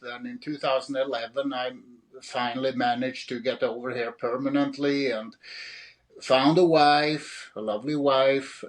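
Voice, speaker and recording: A middle-aged man from Sweden speaks in a Swedish accent, answering as an author guest. His pitch sits in an ordinary middle range, and he talks hesitantly, pausing on fillers and restarting his sentences. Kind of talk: interview answers.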